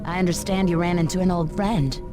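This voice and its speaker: An actress playing a tough, skeptical police interrogator in a video game, pointed and dry. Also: female voice, lowish voice, steady tone, brisk, over music, lightly acted. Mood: probing. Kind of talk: scripted game acting.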